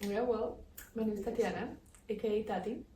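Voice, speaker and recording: A woman's voice: mid-range voice, steady tone, fairly quick, warm tone, a little hiss or hum. Young colombian woman, giggly chatter, roomy echo, set back from the mic. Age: 20s. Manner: giggly, lots of "like" fillers. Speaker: podcast co-host. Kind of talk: casual chat. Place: indoor room in the Netherlands. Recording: echoey room, mic at a distance.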